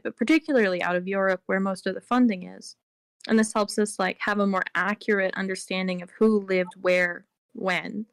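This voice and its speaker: A woman's voice: medium pitch, fairly bright tone, dry recording. A woman being interviewed on a podcast, explaining at length in a casual way, with frequent "like" and "um". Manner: explains at length, with many fillers like "like" and "um". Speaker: interview guest.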